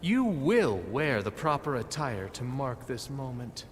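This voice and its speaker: A man's voice: mid-range voice, big pitch swings, unhurried, in a large hall. An actor playing a grandiose, self-deluded male leader in a video game, speaking in pompous, theatrical declarations. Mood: coldly menacing. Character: grandiose, self-deluded male leader who believes himself a reborn ancestor. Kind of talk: video game cutscene acting.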